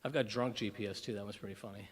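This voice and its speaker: Animated male keynote storyteller. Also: lowish voice, racing, big-room sound, slight background noise. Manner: animated, self-deprecating, frequent restarts. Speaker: keynote speaker. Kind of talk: humorous storytelling speech. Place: conference breakfast room.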